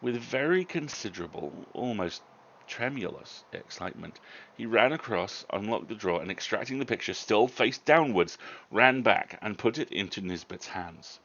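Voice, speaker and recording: A man with a low, creaky voice reads literary fiction aloud. His narration is expressive and theatrical, with deliberate phrasing. The sound is a bit dull. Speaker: host and story reader.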